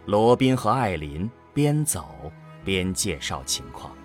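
Slow, dramatic male narrator. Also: deep voice, big pitch swings, soft background music. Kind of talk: dramatic scripted narration of a sci-fi audio drama.

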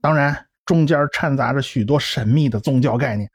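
A man's voice: mid-range voice, normal speed, breathy edge, dry recording, clean studio sound. A man playing a cocky, talkative scientist in a radio drama, with a blustering, explanatory way of talking. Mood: explaining, storytelling. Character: cocky, talkative male scientist and science populariser, brash and lively. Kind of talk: Chinese radio drama acting.

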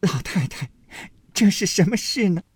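A frail young noblewoman begs through her tears, as a man puts her on in a storybook reading. Her voice rides high and swings widely, with a husky, breathy edge. It sounds close and dry. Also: expressive, a little slow, darkish tone, no room sound, heavily acted. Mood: weeping, pleading. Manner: high pleading voice, sobbing appeals.

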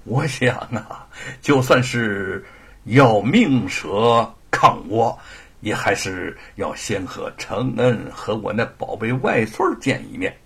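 Man voicing a fiery old militiaman, husky and gravelly. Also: lowish voice, lively intonation, slow, voice pushed down, full character voice. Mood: earnest. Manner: impassioned, emphatic. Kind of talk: dramatised Chinese historical storytelling.

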